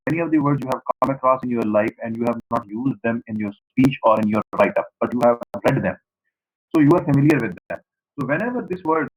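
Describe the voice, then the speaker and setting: A man's voice: medium pitch, low-quality recording. A man from Pakistan, a native Saraiki speaker with a Pakistani accent, giving an online class lecture to students and repeating his points as he explains. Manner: repetitive, explanatory, addresses students directly. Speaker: university lecturer.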